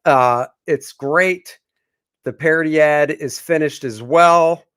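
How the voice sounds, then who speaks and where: A man's voice: fairly high pitch, slow, fairly bright tone, smooth. A man co-hosting a casual livestream, rambling and joking with plenty of fillers. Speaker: comic artist co-host.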